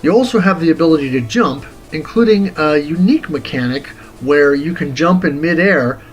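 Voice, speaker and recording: A man of forty reading a scripted retro game review as host, in a deliberate, articulate, wordy way. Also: a little high, expressive. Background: music.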